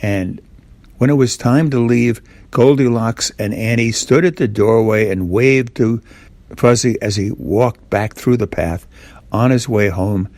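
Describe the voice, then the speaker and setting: A man's voice: medium pitch, a little slow, a little mellow, dry recording. An older man tells a simple bedtime story informally, as if speaking to a young child. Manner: simple, repetitive, asks the child listener questions.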